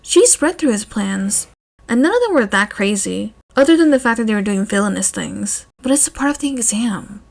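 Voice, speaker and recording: A woman's voice: on the high side, expressive, normal speed, gravelly. A woman reading scripted fiction aloud as an audiobook-style narrator. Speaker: podfic narrator.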